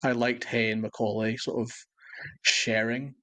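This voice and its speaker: A man's voice: medium pitch, little pitch movement, unhurried, sharp tone. A man co-hosting a sports podcast, chatting casually about football in an analytical, matter-of-fact way.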